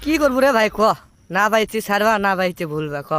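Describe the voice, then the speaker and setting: A man's voice: high voice, very animated, brisk, fairly bright tone, clean tone. A man voicing a heartbroken, stubborn young cartoon character with a Bogura Bangladeshi accent, complaining in a plaintive, earnest way. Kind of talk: comic cartoon dialogue.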